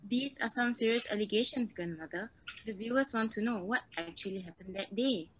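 A woman's voice: mid-range voice, brisk, slightly rough, natural voice, low-quality recording. A teenage girl playing a serious, formal TV interviewer in a scripted drama. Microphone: video call.

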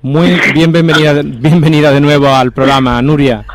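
A man's voice: on the high side, fairly even intonation, normal speed, heavy background noise. A man with a Spanish accent hosting a live interview as a radio presenter in a studio. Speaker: radio show host. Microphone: studio microphone with headphones.

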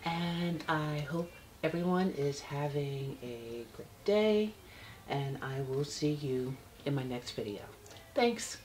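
A soft-spoken woman in her fifties, casually showing a handbag in her bedroom with halting speech and many fillers. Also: deep voice, slight background noise. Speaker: handbag vlogger. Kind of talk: casual product demo. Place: bedroom, seated on a bed. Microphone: external camera mic.

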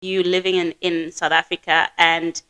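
A woman hosting a casual podcast interview, asking reflective questions and encouraging her guest with soft affirmations. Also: fairly low pitch, flat delivery, normal speed, clean tone, no room sound, rough recording. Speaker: podcast host. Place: small indoor living room. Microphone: studio mic on a desk stand.

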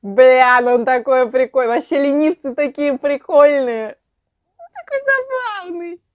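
A woman in her twenties reacts casually to what she is watching. Her voice is fairly high, and the room at home sounds dry. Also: lively intonation, unhurried, no echo, dull audio. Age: 20s. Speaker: reaction video host. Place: small room at home, indoors.

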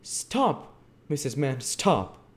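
Man putting on a pompous, high-pitched bossy parish beadle. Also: expressive, a little slow. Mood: pompous, prodding. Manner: loud, imperious, self-important exclamations.

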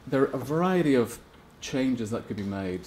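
A man in his forties giving a measured academic panel talk in a seminar room, hesitant with frequent restarts. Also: medium pitch, animated, darkish tone, slight background noise. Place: seminar room at a conference table.

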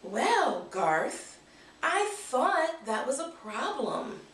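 A woman reader plays a kindly, encouraging schoolteacher woman from a storybook. The voice is high, bright and upbeat, recorded across a roomy space. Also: sing-song, drawn-out words, exaggerated voice. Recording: roomy, distant camera microphone.

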